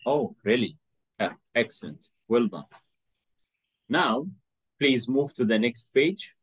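Slow, patient male English teacher. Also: medium pitch, expressive, breathy edge, no echo. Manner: slow, patient, encouraging, repeats phrases. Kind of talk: online one-to-one English lesson.

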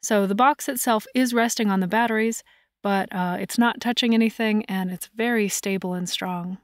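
A woman in her forties talks casually through a vehicle project, chatty and quick to laugh. Her voice sits in a comfortable middle range. The sound is dry and close, recorded in and around an SUV. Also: medium pitch, fairly even intonation, no echo. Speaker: vlogger. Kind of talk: casual DIY narration. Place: inside an SUV and a carport.

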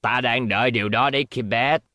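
A man's voice: mid-range voice, fairly even intonation, a little fast, sharp tone, natural voice. A man dubbing a belligerent, defiant middle-aged robot maker in a cartoon, grumbling and combative. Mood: commanding.

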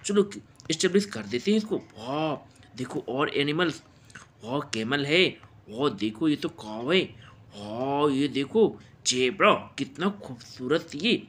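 A man's voice: medium pitch, lively intonation, a little slow, fairly bright tone. An Indian man improvising play-by-play narration of toy play for children in an exclamatory way, with frequent wows and interjections. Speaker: presenter of toy play videos.

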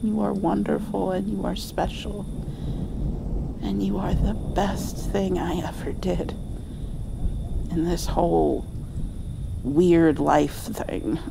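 A woman playing a doting, playful mother comforting her grown child in an intimate ASMR roleplay, softly and affectionately. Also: fairly low pitch, fairly even intonation, gravelly, background noise, full character voice. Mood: loving, earnest. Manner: soft, affectionate, slow with gentle laughs.

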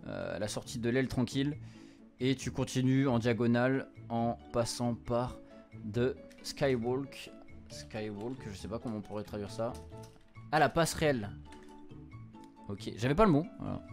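Young man streaming, music in the background. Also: medium pitch. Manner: informal slangy speech mixed with English words. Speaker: streamer playing a visual novel. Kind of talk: casual gameplay commentary with on-the-fly translation. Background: game music.

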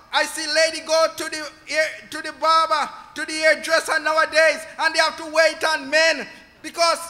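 A man preaching an impassioned sermon, often shouting in a large, echoing hall. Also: very high-pitched. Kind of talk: fervent sermon. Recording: long hall reverb.

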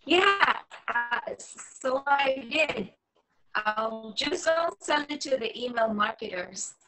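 A woman's voice: high voice, lively intonation, drawn-out words, pauses often, heavily acted, poor audio. A woman reading the part of a wary, lonely, sardonic online dater in her late twenties, hesitant and wry, in a film table read. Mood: awkward, amused. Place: small room at home, video call. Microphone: computer mic on a video call.

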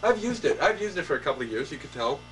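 A man's voice: a little high, very animated, very fast, a bit bright, faint music behind. A young man hosting a mock late-night talk show, mock-formal and hesitant, full of ums and restarts. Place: small indoor set, couch and table. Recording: distant camera audio with hum.

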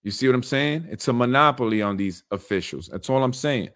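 A man's voice: medium pitch, lively intonation, brisk, fairly bright tone. A man in his thirties hosting a casual political livestream from a small room at home, speaking earnestly with many fillers and repeated phrases.

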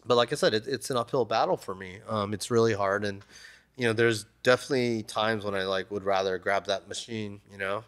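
A man's voice: fairly low pitch, fairly quick, clear, resonant tone. A man in his thirties, a tattoo artist guest, talking casually and loosely, with lots of "like" and "you know", on a desk mic in a shop. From United States. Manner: relaxed, rambling, many "like" and "you know" fillers. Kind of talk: casual interview talk. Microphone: desk mic on stand.

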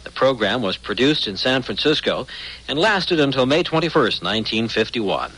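A man's voice: mid-range voice, sing-song, normal speed, fairly bright tone, breathy edge, rough recording. A man presenting a radio program in a chatty, conversational and playfully wistful way.

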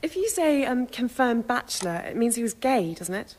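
An actress playing a bookish, mild British film crew member, thoughtful and explanatory in a naturalistic radio drama. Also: female voice, a little high, big pitch swings, moderate pace, darkish tone. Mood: questioning. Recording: reverberant hall with faint ambience.

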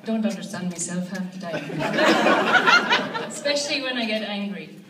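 A woman's voice: mid-range voice, measured pace, very noisy. A young woman with an Irish accent doing a stand-up comedy set on a club stage, telling dry stories with punchlines into a handheld mic. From Ireland. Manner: wry, deadpan storytelling with punchlines. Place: comedy club stage before a live audience. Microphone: handheld mic, filmed from the audience. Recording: echoey club, from far off, with loud crowd laughter.

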